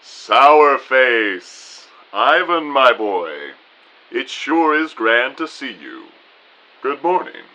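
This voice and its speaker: Male actor as genial, pompous chief in radio play, booming and bright, unhurried, lively intonation, slightly lo-fi. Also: heavily acted. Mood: jovial, hearty. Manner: pompous, genteel, formal phrasing. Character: booming, jovial, courteous male agency boss. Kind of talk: audio drama dialogue.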